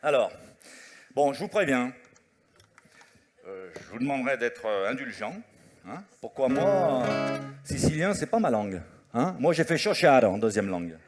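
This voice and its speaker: A man's voice: medium pitch, very animated, moderate pace, pauses often. A man doing musical stand-up comedy on stage, singing parody songs and chatting with the audience in French with Italian words mixed in. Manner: sings and chats with the audience, mixing French and Italian. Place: stage before a live audience. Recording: live stage recording with loud guitar. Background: guitar accompaniment.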